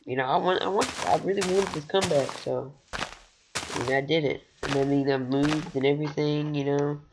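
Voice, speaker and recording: Gravelly teenage boy gamer. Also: steady tone, moderate pace, background noise. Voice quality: voice recently deepened. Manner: rambling, chatty, laughs often. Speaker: gaming channel host. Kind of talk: casual gameplay commentary.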